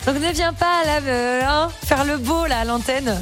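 Female morning co-host, playful ribbing, high bright voice, light music bed. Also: normal speed. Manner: teasing, dry. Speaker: radio co-host and newsroom presenter. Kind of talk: casual radio banter. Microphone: studio microphone with headphones.

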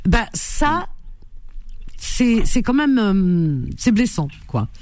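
Woman radio host, lively and exclaiming a lot, chatting with guests and callers. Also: on the high side, very slow, slight background noise. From Algerian roots. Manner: exclamatory, expressive. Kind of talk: call-in radio talk.

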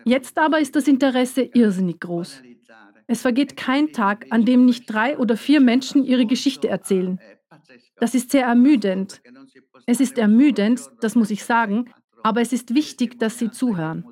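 A woman giving a measured, earnest first-person voice-over for a documentary. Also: a little high, darkish tone. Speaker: voice-over speaker.

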